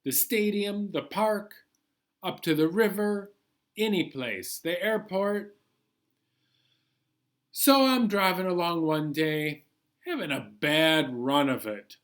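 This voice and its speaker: A man reading a picture book aloud as a chatty, bemused New York City taxi driver, colloquial and animated. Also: high voice, slow, pauses often. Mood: chatty, world-weary. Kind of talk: first-person picture book read-aloud.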